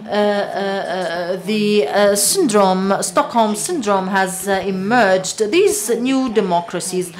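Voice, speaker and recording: A woman giving simultaneous interpretation of a lecture, halting often with long hesitations. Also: medium pitch, animated. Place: lecture hall.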